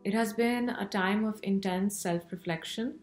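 A woman's voice: medium pitch, a little slow, a little mellow, faint music behind. A woman from Islamabad, a visual artist, reading a reflective statement about her lockdown work. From Islamabad, Pakistan. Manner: reflective, read aloud. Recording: echoey room.

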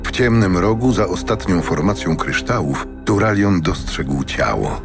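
Male narrator for fantasy audio drama, very low-pitched, music underneath. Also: animated, a little slow.

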